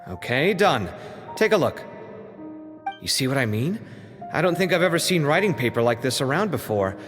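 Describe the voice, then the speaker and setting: A chatty, expressive young man. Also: mid-range voice, normal speed. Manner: lively, chatty and a little flustered. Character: young man, expressive and fussy, a talkative storyteller. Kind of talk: video game voice acting. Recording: reverberant game scene with music.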